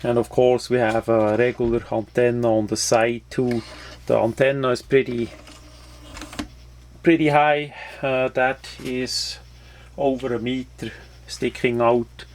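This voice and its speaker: A man with as his language talks through a product in a casual, unscripted way. His delivery is slow and halting, with very little rise and fall in pitch. A light layer of noise sits behind his voice. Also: flat delivery. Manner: halting, hesitant phrasing. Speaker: product reviewer.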